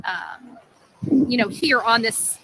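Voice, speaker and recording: A woman's voice: fairly high pitch, takes it slowly, background noise. A woman in a casual livestream, rambling through explanations and often checking that listeners follow. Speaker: livestream amateur sleuth.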